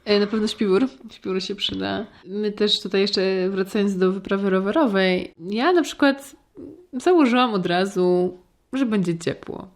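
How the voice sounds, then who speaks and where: A woman's voice: medium pitch, normal speed. A woman co-hosting a podcast, talking in a casual, conversational style. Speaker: podcast co-host. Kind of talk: casual chat.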